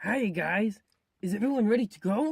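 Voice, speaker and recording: A performer voicing a wisecracking, kindly animal puppet pal with a raspy, creaky voice in a kids' show. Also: medium pitch, brisk, no room sound, clean studio sound. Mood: casual, grumbling. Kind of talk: children's puppet show voice acting.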